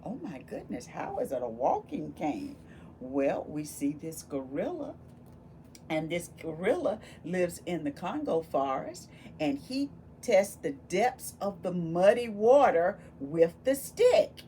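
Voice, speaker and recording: Female children's story reader, very animated intonation, takes her time, addresses kids directly with exclamations, faint noise behind. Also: on the low side, slow pace. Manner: animated, exclaiming, talks directly to young listeners. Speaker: volunteer children's book reader. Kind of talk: expressive picture-book read-aloud with questions and asides to children. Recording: steady background noise.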